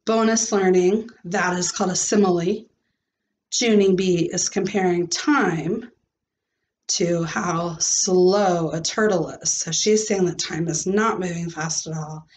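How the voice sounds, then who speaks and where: A middle-aged woman teacher reading a children's book aloud and pausing to explain in a deliberate way. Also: lowish voice, steady tone, a little mellow. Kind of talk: expressive children's read-aloud with teaching asides. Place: small carpeted room at home. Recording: clearly noisy home recording with clicks.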